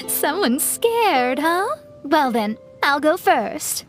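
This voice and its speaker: A high-pitched young genius astrologer in a video game. She is proud and a little haughty, and flusters easily. Faint music plays behind her. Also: wildly swooping pitch, drawn-out words, a bit bright, heavily acted. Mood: smug. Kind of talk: English game dub acting.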